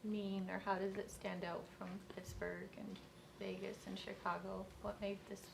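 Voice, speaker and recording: A woman's voice: medium pitch, little pitch movement, moderate pace, vocal fry. A woman sports reporter asking questions at a press conference in a plain, conversational way. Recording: noisy room pickup.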